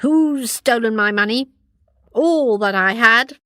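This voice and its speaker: Storybook witch, acted by a woman, old and angry, drawn-out sing-song chant, close dry sound. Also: a little high, a bit bright, no room sound. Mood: angry wailing. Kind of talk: storybook character voice.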